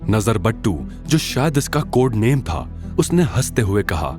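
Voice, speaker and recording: Low-voiced, animated male story narrator. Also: unhurried, over music. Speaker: narrator of an audio drama series. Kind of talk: dramatic scripted Hindi story narration.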